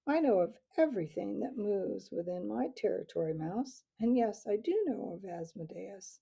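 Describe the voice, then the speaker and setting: A woman's voice: mid-range voice, expressive, normal speed, gravelly, warm tone, slightly rough. A woman voicing a boastful male owl captain who mocks and cackles, in a storybook reading. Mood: mocking. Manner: mocking, laughs raucously.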